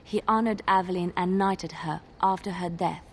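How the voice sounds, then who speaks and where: A woman's voice: fairly low pitch, fairly even intonation, moderate pace, a little hiss or hum, close to an everyday voice. An actress playing an earnest female bard in a video game, recounting a legend in a reverent storytelling manner. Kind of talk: video game character acting.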